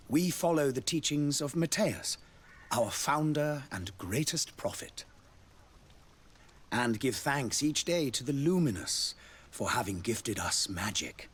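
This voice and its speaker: Husky, courtly fantasy ambassador, animated pitch. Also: male voice, exaggerated voice. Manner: formal, florid, diplomatic. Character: courtly, devout male ambassador of a theocratic nation. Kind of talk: scripted fantasy video game dialogue.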